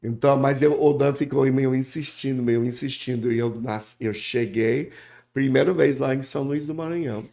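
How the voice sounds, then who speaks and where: Man speaking halting Portuguese. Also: medium pitch, vocal fry, mellow. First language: English. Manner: halting, restarts, repeats words. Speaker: guest preacher. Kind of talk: casual interview chat.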